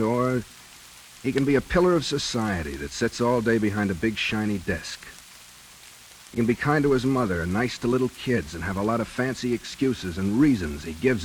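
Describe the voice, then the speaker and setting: A man's voice: lowish voice, lightly acted, rough recording. A male actor playing a hard-boiled, world-weary bank robber with a decent streak, terse and slangy in 1940s radio noir style. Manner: terse, slangy tough-guy talk. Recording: hissy 1940s radio recording, rain behind.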